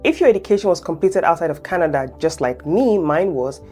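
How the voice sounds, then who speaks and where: A woman's voice: fairly low pitch, lively intonation, brisk, darkish tone, faint music behind. A woman from Nigeria, a French teacher and channel host, explaining step by step and often checking in with her viewers. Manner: encouraging, checks in with "okay?". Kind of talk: explainer lesson to camera.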